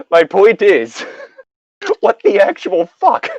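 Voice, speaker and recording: Male story-reading host, very lively intonation, exasperated cursing asides, dry and slightly dull recording. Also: high voice, extremely slow, no room sound. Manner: sweary, animated, exasperated asides. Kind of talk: casual story reading with ranting commentary.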